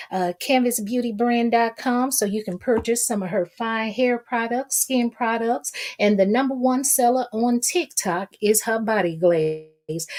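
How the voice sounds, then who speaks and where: Sassy, high-voiced woman. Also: darkish tone. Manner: sassy, folksy, repeats phrases for emphasis. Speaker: YouTube commentator and channel host. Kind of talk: casual gossip commentary to viewers.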